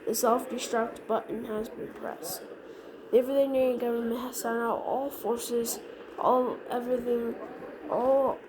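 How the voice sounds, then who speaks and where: Higher-pitched woman reading a noisy emergency alert. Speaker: emergency broadcast announcer. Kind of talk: scripted mock emergency alert narration. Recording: noisy recording with steady background noise.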